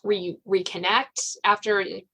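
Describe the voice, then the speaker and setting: A woman's voice: medium pitch, fairly even intonation, a little slow, pushed and bright, husky, rough recording. An American woman hosting a casual interview, talking in a chatty, rambling way peppered with filler phrases. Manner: chatty and rambling, frequent "you know". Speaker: podcast host and suspense novelist.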